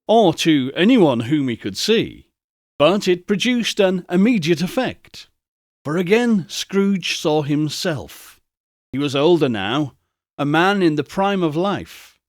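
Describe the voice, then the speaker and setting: A man's voice: fairly high pitch, very animated, slow, no echo, studio quality. A man reading a literary story aloud as a dramatic narrator. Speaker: narrator, audiobook reader.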